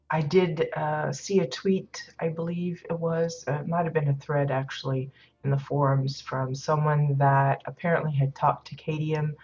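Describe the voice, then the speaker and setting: A woman hosting a game livestream in casual chat, a little hoarse and stuffed up, rambling with many ums and uhs. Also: low-pitched, darkish tone. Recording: slightly dull lo-fi audio.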